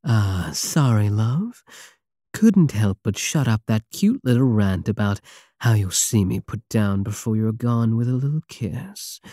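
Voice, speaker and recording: A man's voice: lowish voice, lively intonation, measured pace, warm tone, dry recording, studio quality. A man playing a swaggering, obsessive space pirate king in an intimate ASMR roleplay, flirtatious and menacing with a teasing, theatrical drawl. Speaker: audio roleplay voice actor.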